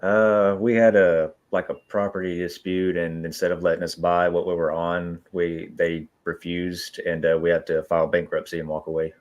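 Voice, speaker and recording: A man's voice: low-pitched, little pitch movement, normal speed, hardly any pauses, dull audio. A man from Texas with a Texan accent, chatting casually as a guest in slangy, laid-back talk. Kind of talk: casual chat.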